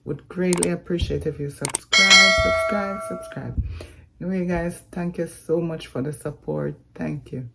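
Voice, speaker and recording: A Jamaican woman with a husky voice talks earnestly to her viewers in a casual vlog. She speaks slowly and deliberately, often calling her audience "guys.". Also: low voice, fairly bright tone, a little hiss or hum. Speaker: vlogger.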